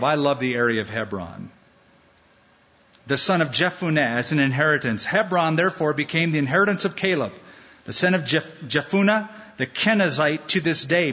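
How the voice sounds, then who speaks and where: Pastor guy preaching, kinda high bright voice, big echoey church, dull sound. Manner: animated, acts out quoted voices playfully. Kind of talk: sermon. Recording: dull sound in a large hall with long reverb.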